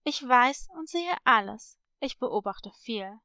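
A woman's voice: on the high side, animated, slow pace, sharp tone, clean tone, no room sound, full character voice. A woman voicing a mysterious, knowing male parrot with an ominous manner in a storybook.

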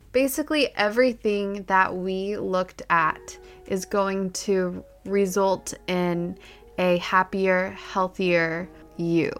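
A woman's voice: mid-range voice, fairly bright tone, clear, resonant tone. A woman hosting a podcast, giving a reflective self-help monologue and speaking to the listener in an encouraging way. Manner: addresses listener directly, encouraging. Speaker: podcast host.

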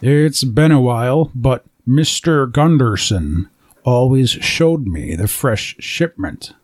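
A man's voice: medium pitch, lively intonation, takes it slowly, no echo. A man playing a streetwise former criminal turned mentor in an audio drama, dry and commanding.

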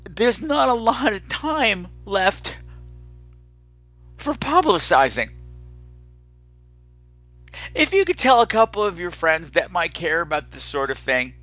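A man's voice: high voice, very animated, takes it slowly, long pauses, sharp tone, no echo, faint music behind. A man hosting a podcast solo in a casual, chatty, campy and playfully dramatic way, rambling and self-deprecating. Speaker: podcast host.

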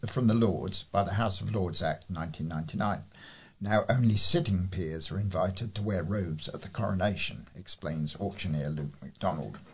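Male volunteer newsreader, lowish husky voice, informal delivery with the odd stumble. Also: a little mellow. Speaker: volunteer local news reader. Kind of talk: reading news articles aloud.

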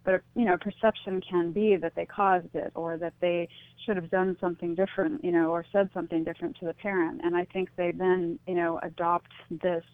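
A woman's voice: lowish voice, level tone, fairly quick, no echo. A middle-aged woman being interviewed as a radio guest, explaining her thoughts in an earnest, reflective way. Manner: earnest, explanatory, often saying "you know". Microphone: phone or call line into a radio show. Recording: thin phone-like line, dry.

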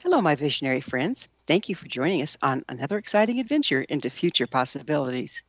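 A woman radio host with a notably low voice and lively, swooping intonation. She delivers scripted introductions and interview questions. The sound is close and dry but slightly dull and lo-fi. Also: quick pace, no echo, faint music behind.

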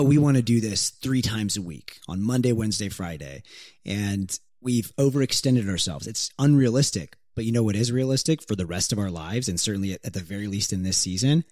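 Low-voiced man in a dry, casual chat. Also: normal speed, dry recording. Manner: relaxed, reflective, swears casually, acts out quoted dialogue. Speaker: podcast co-host. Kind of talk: casual couple's podcast chat.

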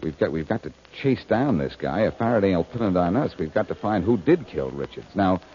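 Low male voice, wry confident detective, 1940s radio drama, self-assured banter, dull old recording. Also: sing-song, brisk, warm tone, a little hiss or hum. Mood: serious, confiding. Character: wry, confident amateur sleuth, adult man.

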